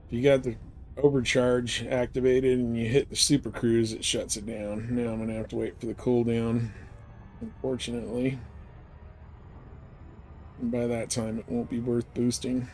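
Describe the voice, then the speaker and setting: A man's voice: medium pitch, little pitch movement, moderate pace, a little mellow, soft background music. A man giving casual live commentary as he streams a game, with some background noise.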